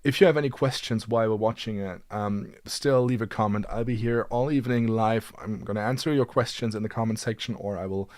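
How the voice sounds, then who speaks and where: A man in his late twenties with a fairly low voice, recorded close and dry. He hosts a casual livestream, rambling a little and often doubling back on his words as he gathers his thoughts. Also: fairly quick, few pauses, darkish tone, no room sound. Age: late 20s. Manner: hesitant, rambling delivery with repeated words. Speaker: livestream host, guitarist.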